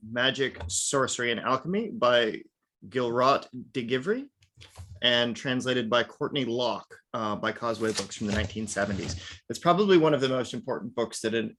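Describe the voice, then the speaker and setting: A man's voice: medium pitch, a bit bright. A man teaching an online workshop class in a chatty lecturing way, often adding "right?". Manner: chatty, peppered with "right?" and "now".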